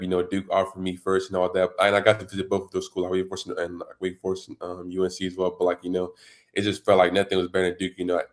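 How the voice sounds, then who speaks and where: Low, flat-voiced teenage boy, rambling. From Democratic Republic of the Congo. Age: teens. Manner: rambling, many fillers like "you know" and "and all that". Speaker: high school football recruit. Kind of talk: casual interview answers.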